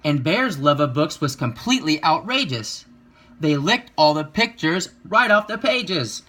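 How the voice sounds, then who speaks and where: Bright-voiced man reading a children's story. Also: on the high side, sing-song, unhurried, a little hiss or hum. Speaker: reader of a children's picture book. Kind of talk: children's story read-aloud.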